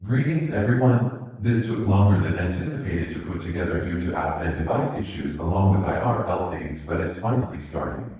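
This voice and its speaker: A man's voice: low-pitched, mellow. A man reading fan fiction aloud as a narrator, in a scripted audiobook style. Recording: muffled, very echoey room recording.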